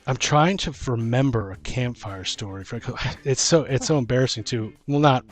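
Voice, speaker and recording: A man's voice: medium pitch, moderate pace. A man co-hosting a casual podcast about tabletop gaming, talking as a dungeon master in a rambling way, thinking aloud. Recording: music playing behind the talk. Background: music.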